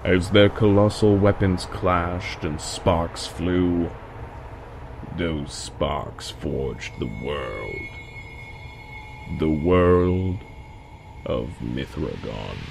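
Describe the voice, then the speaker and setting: A man playing an ancient, solemn immortal lord, delivering an epic fantasy prologue in a grave, measured manner. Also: low-pitched, expressive, vocal fry, noisy background, heavily acted. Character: ancient solemn risen lord, being born of sparks. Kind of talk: slow epic prologue narration.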